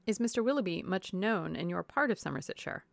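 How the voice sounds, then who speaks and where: Lady reading as polite reserved young woman in an old novel, measured, medium pitch, no room echo. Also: animated, creaky, studio quality. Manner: measured, courteous, restrained. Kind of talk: classic novel audiobook dialogue.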